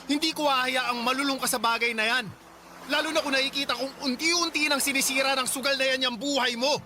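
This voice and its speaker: Exasperated, high-voiced, fast-talking Filipino husband. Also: male voice, steady tone, noisy background. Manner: reproachful, firm, pleading. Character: exasperated, principled husband and protective uncle. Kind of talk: Filipino radio drama acting.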